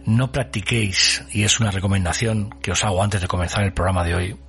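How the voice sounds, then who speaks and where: Male podcast host, fairly low voice, measured scripted narration, dramatic pauses, faint music bed. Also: fairly even intonation, creaky, fairly bright tone.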